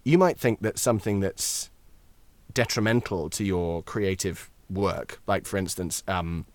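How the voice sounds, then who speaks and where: A man's voice: sits low, unhurried, no room sound. A man chatting as a podcast guest, rambling and jokey, often interrupting himself as he gives anecdotes and advice. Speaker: guest, voice actor and voiceover tutor.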